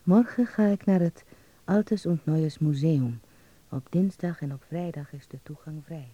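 A man's voice: fairly high pitch, unhurried, warm tone, dull audio. An actor playing a dreamy young man in a radio drama, confiding intimately in a letter home to his mother. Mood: quietly excited.

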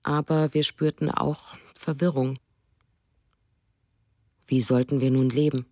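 A woman's voice: extremely low pitch, fairly even intonation, takes it slowly, dark tone, no echo, clean studio sound. A woman reading a reflective first-person narration for a radio drama. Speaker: reader in a radio documentary drama. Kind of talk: scripted narration.

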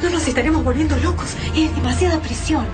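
A woman's voice: sits high, fast, loud music behind the voice, close to an everyday voice. A woman with an Argentine accent acting a frightened, agitated fighter in a radio drama. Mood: anxious. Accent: Argentine, Rioplatense.

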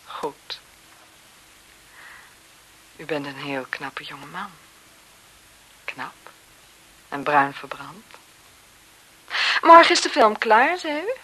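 A woman's voice: sits low, lively intonation, very slow, long pauses, sharp tone, heavily acted, poor audio. An actress playing a bored, impatient, coquettish aristocratic lady in a 1970s radio drama, with languid, theatrical sighing delivery. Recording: hissy 1970s radio play recording.

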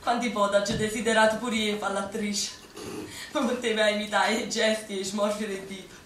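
A woman's voice: medium pitch, fairly even intonation, normal speed, fairly bright tone, a little hiss or hum, lightly acted. An actress playing a young Neapolitan woman who fights as a wartime partisan, speaking with a Neapolitan accent in a stage play for radio. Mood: wistful. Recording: echoey stage, distant mic, music underneath.

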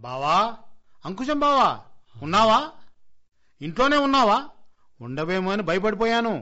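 A man's voice: high voice, sing-song, normal speed, sharp tone, faint music behind, exaggerated voice. A man acting as a pushy, pleading relative who sponges off his family in a radio comedy play. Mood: anxious calling. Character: wheedling, insistent freeloading male relative. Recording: dull, lo-fi radio play recording.

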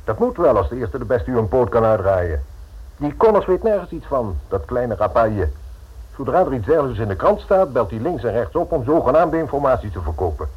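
An actor playing a haughty, condescending society lawyer in a radio play, formal and scornful in manner. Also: male voice, mid-range voice, very animated, brisk, husky. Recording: muffled old radio recording.

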